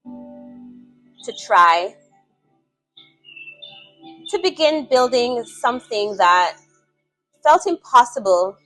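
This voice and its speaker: A woman narrating a scripted dramatic story in a solemn, measured manner, in short phrases. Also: fairly high pitch, big pitch swings, fairly bright tone, faint music behind, poor audio.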